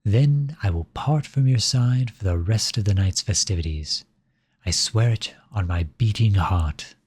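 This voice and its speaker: Male actor as courtly flirtatious prince, ASMR romance roleplay, dark soft tone, close dry sound, silver-tongued formal phrasing. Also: fairly low pitch, a little slow, husky, no echo. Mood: earnest, coaxing. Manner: courtly, formal, theatrically flattering speech. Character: flirtatious, silver-tongued royal man at a masquerade ball. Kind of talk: ASMR roleplay, scripted romantic monologue addressed to listener.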